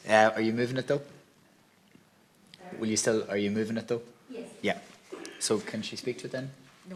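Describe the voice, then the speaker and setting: A man chairing a parliamentary session, calling amendments and votes in a brisk, halting way that keeps correcting itself. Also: lowish voice, a bit bright. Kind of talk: formal procedural chairing. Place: parliamentary chamber.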